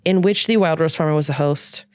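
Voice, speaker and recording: A woman's voice: deep voice, brisk, darkish tone, smooth, no room sound, clean studio sound. A woman hosting a casual, unscripted podcast from a bed, rambling, giggly and self-deprecating. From Ontario, Canada. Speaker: podcast host. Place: hotel room, lying in bed.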